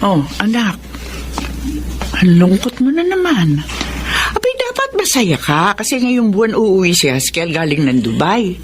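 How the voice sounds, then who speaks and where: An actress playing a worried, doting middle-aged mother in a Filipino radio melodrama, expressive and pleading. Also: medium pitch, a little slow, slight background noise. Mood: encouraging. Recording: drama with music bed behind.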